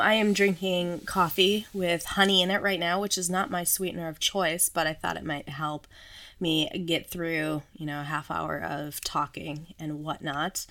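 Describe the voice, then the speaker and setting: A woman with a notably low voice hosts her solo podcast while sick, her throat a little hoarse. She chats casually and pokes fun at herself with frequent small laughs. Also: sharp tone. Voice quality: slightly hoarse. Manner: chatty, self-deprecating, laughs often. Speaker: podcast host.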